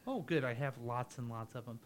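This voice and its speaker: A man's voice: medium pitch, brisk, crowd noise. A man hosting a small radio talk show in a studio, rambling and joking with his co-hosts and laughing often. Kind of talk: casual studio banter.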